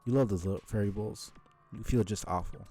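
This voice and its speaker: A man's voice: lowish voice, warm tone, slightly rough, soft background music. A man reading a story aloud on a live stream, stumbling over words now and then and dropping in casual asides. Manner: halting, stumbles and rereads words.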